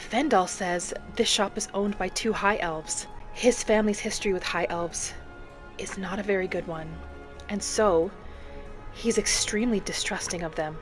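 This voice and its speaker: A woman narrates a cozy fantasy game in character, with music playing behind her. Her phrasing is courteous and a little formal. She sits at a middle pitch as she talks through the roleplay.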